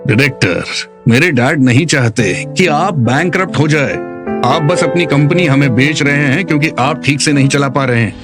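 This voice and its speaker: A man's voice: a little high, normal speed, over music, natural voice. A man playing an indignant corporate chairman defending his company in a dubbed drama. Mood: cool, dismissive.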